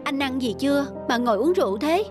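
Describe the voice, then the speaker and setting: An earnest, high-voiced cartoon young wife. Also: female voice, animated, quick pace, music in the background. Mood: gentle. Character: devoted, hard-working young wife, caring but outspoken. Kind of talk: cartoon dialogue.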